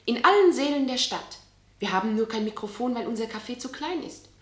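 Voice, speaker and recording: An actress playing a friendly, forthcoming young waitress in a German radio play. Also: female voice, a little high, lively intonation, brisk, fairly bright tone. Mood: friendly, confiding. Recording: echoey room.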